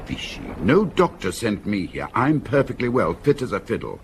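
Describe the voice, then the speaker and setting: A wry, foul-tempered older novelist in a radio play. The voice sits low but moves widely, with a dry, querulous edge. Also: male voice, very animated, normal speed, background noise. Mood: grumbling. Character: grumpy, wry older novelist friend of the inspector. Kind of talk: British radio drama acting.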